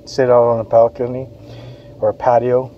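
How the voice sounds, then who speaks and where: A man's voice: medium pitch, level tone, normal speed, dull audio, slight background noise. A man from Western Canada, a parent, giving a casual, chatty beer review on an outdoor patio. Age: adult, parent of kids. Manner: chatty, informal, reads label aloud.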